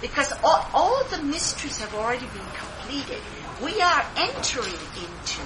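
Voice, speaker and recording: A woman's voice: high voice, sing-song, moderate pace. A woman giving an earnest sharing at a church meeting, addressing her listeners as saints. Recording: noisy recording.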